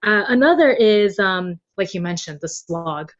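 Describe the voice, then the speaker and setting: A chatty woman speaking casually as an interview guest, quick to laugh, explaining her work as a translator. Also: medium pitch, animated, lo-fi sound. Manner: chatty, laughs readily while talking. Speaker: podcast guest, web novel translator.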